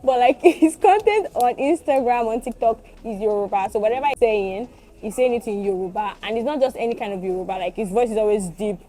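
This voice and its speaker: Mellow woman hosting. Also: fairly high pitch. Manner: teasing, quick to laugh, affirming. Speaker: podcast host. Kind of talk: casual interview chat.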